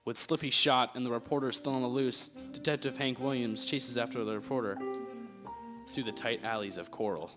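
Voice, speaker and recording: A man's voice: mid-range voice, fairly even intonation, brisk, close to an everyday voice. A young man playing the storyteller of a detective radio drama, reading the script with occasional stumbles. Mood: dramatic. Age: teenager or young adult. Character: male storyteller recalling an old detective case. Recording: loud music over amateur recording.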